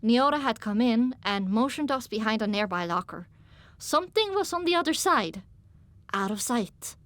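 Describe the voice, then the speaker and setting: A woman's voice: on the high side, expressive, moderate pace, a bit bright, no room sound. A woman narrating a story in the first person in a dramatic audiobook style.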